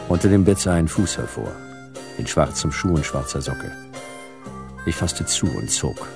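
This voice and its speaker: Very deep, gravelly sardonic detective. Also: male voice, heavily acted. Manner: sardonic, laconic. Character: hard-boiled male detective Jonas, wry, world-weary narrator. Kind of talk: radio crime drama narration and dialogue.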